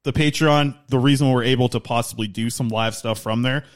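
A man's voice: medium pitch, fairly even intonation. A man hosting a podcast, rambling eagerly in casual chat. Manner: fast, eager, rambling plugs. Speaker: podcast host.

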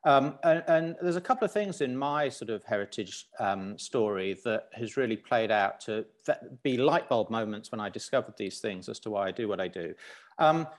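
A man from Zambia as a podcast guest, talking reflectively with many pauses and restarts. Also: fairly low pitch, lively intonation. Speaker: guest, business founder. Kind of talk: podcast interview.

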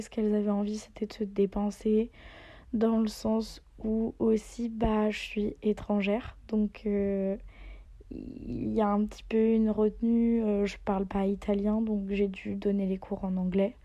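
A woman's voice: mid-range voice, flat delivery, moderate pace, dark tone. A young French-speaking woman who teaches yoga, chatting casually with lots of fillers and often dropping to a near whisper. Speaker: yoga teacher and podcast host. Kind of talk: casual spoken travel diary. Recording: slightly dull lo-fi sound.

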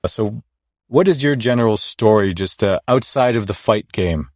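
A man's voice: on the low side, expressive, normal speed, darkish tone, dry recording, clean studio sound. A man hosting an interview, agreeing often and asking loose, rambling questions. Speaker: podcast host. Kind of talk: casual interview conversation.

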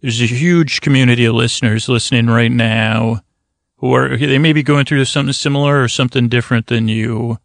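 Creaky, rambling man hosting a sleep podcast. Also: medium pitch, fairly even intonation, a little fast, no room sound, clean studio sound. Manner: meandering, hesitant, trailing off mid-thought. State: tired. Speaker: podcast host. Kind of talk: rambling bedtime talk.

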